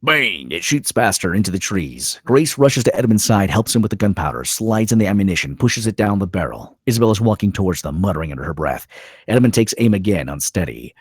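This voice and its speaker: Deep, husky male dramatic narrator. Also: sing-song, no echo, studio quality. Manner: clipped suspenseful phrases with dramatic pauses. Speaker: narrator reading stage directions. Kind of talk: dramatic scripted narration at a table read.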